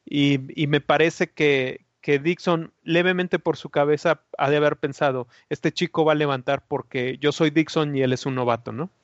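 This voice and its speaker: A man with a fairly high voice explains a racing incident step by step, measured and hesitant, often pausing on fillers. The sound is very dry and a bit dull, close and lo-fi. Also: fairly even intonation, dry recording. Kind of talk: casual motorsport analysis.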